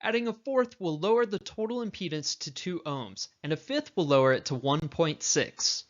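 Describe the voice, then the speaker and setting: A man with a somewhat higher-than-average voice delivers scripted instructional narration. He explains step by step, like a course instructor. The recording is a bit dull, lacking some top end. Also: expressive, normal speed.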